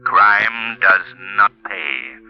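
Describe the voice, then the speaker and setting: An actor playing a sinister, mocking avenger with a menacing laugh in old radio drama, on a vintage recording. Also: male voice, on the low side, drawn-out words, gravelly, exaggerated voice. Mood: menacing, gloating. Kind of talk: 1940s radio acting. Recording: muffled vintage radio, music behind.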